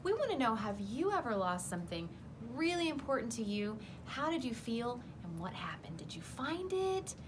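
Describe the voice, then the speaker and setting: A woman's voice: on the high side, big pitch swings, normal speed, few pauses, background noise. A woman hosting a children's story program, speaking directly to young listeners. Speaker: host of a bedtime story program. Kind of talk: addressing children, presenting.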